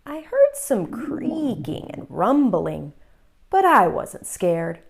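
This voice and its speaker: A woman hosting a children's read-aloud, telling the story in an expressive, deliberate way for young listeners. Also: fairly high pitch, creaky.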